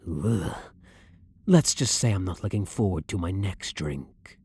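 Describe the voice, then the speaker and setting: A man playing a blunt, brooding vampire hunter, himself a vampire, in a measured, dominant ASMR roleplay with a breathy voice. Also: low voice, lively intonation, a little mellow, dry recording, heavily acted. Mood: weary, wry. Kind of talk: ASMR roleplay, dramatic monologue.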